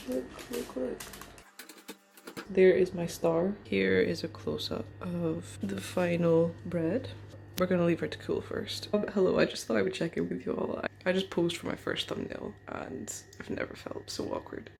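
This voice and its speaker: A woman's voice: on the low side, moderate pace, creaky, a little mellow, slight background noise. A woman in her twenties with a Scottish accent, vlogging casually while baking in her home kitchen, chatty, giggly and self-deprecating. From Scotland.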